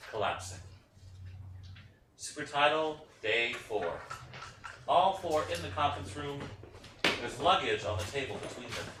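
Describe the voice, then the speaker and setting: Male narrator for a play reading's stage directions, mid-pitched, off-mic and noisy. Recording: echoey, distant mic, noisy.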